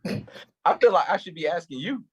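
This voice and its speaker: Dude reading a touchy young cop, snaps back fast, voice jumps all over, kinda high. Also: male voice, lively intonation, breathy edge. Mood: defensive. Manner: touchy, quick to snap back. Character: Monty, a hurt, defensive male police officer. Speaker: actor in a staged reading. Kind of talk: staged play reading.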